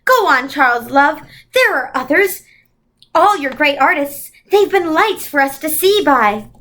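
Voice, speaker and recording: A gentle, comforting old lady from a children's storybook, played by a woman reader. Her voice is high and motherly, and she chats along reassuringly like a fond elder. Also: lively intonation, measured pace, exaggerated voice. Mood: pleased. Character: kindly, comforting, chatty old celestial woman.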